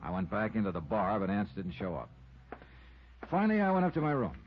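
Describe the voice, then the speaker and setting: An American man acting a brisk, wry hard-boiled investigator in a 1950s radio detective drama. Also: lowish voice, wildly swooping pitch, soft background music. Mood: wry narration. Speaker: lead actor and narrator. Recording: dull vintage radio recording.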